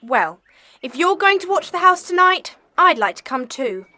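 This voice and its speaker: A woman's voice: very high-pitched, very animated, moderate pace, sharp tone, full character voice. A woman playing an eager schoolchild in a children's mystery audio drama, keen and chatty.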